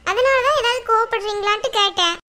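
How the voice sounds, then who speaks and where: A woman plays a meek young daughter-in-law who pleads respectfully. Her voice is high and bright. She sounds puzzled and defensive. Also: fast. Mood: pleading.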